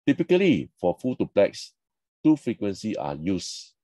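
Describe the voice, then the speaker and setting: A man with a low, mellow voice narrates a slide lecture in short, explanatory phrases. His English has non-native phrasing. The recording is dry and close. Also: wildly swooping pitch, moderate pace, no room sound. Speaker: instructor.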